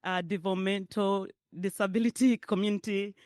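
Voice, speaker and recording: A middle-aged woman from Uganda with a Ugandan accent, speaking warmly and chattily at a public forum and laughing often. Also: mid-range voice, steady tone, a little fast, no room sound, studio quality. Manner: warm, emotional, chatty asides with frequent laughter. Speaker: guest speaker, parent advocate. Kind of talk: personal speech at a public forum. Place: hotel ballroom event.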